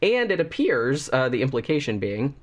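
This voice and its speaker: A man hosting his movie review show while fighting off a cold, so his voice sounds a little stuffy and congested. He talks in a loose, rambling way, full of "uh" and "like", at an average pitch for a man. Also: sing-song, normal speed. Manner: rambling and chatty, many fillers. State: has a cold, slightly congested. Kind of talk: casual spoken movie review.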